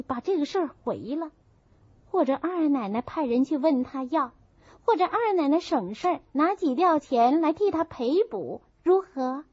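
Woman playing an indignant, high-pitched young maid. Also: no echo. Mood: indignant, insistent. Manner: insistent, complaining. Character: young maidservant, indignant, outspoken, loyal. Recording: muffled, dull audio.

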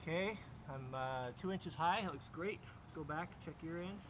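A man's voice: a little high, normal speed. A man in casual work talk outdoors at a sawmill, recorded from a distance. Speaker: sawmill operator. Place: outdoors in a yard beside a portable sawmill. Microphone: camera mic at a distance. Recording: distant mic, machinery noise outdoors.

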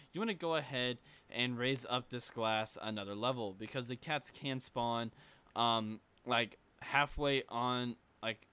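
A man's voice: medium pitch, bright, forceful tone, clear, resonant tone. A young man with a sore throat, casually talking through a game building tutorial with plenty of fillers and restarts. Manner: casual, rambling, lots of fillers. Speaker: gaming tutorial creator.

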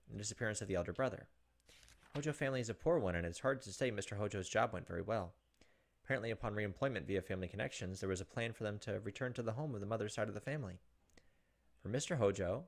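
A man's voice: fairly low pitch, creaky. A man in his thirties narrating a visual novel aloud as a let's play host. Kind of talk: narrated let's play, dramatic reading with casual asides. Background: soft game music.

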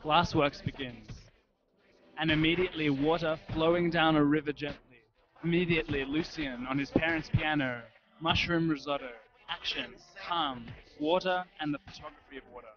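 A man in his thirties giving a measured, poetic literary reading. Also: on the high side, fairly even intonation. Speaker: writer reading his own fiction. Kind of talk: literary reading over a live soundscape. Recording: dull lo-fi web stream audio. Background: music.

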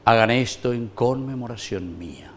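A middle-aged man from Paraguay, a priest reciting the prayers of Mass in a measured, solemn way in a small wood-paneled chapel. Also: mid-range voice, slight background noise.

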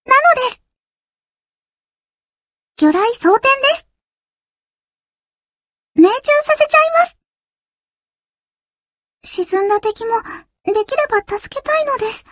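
A woman voicing a timid, gentle little warship girl for an anime game, with a childlike, hesitant delivery. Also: very high voice, lively intonation, a little slow, long pauses, no echo, studio quality, heavily acted. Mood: earnest, determined. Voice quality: girlish, childlike. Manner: hesitant, soft-hearted, cutesy. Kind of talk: anime game character voice lines.

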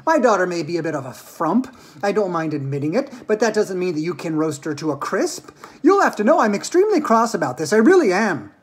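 A woman's voice: lowish voice, lively intonation, fairly quick, natural voice. A woman voicing a pompous, self-important wealthy father in a storybook, blustering as he speaks. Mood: indignant.

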